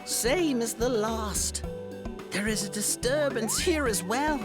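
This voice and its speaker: A performer voicing a small, solemn, scholarly mage in a video game, measured and formal. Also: mid-range voice, animated, music in the background. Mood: grave, measured.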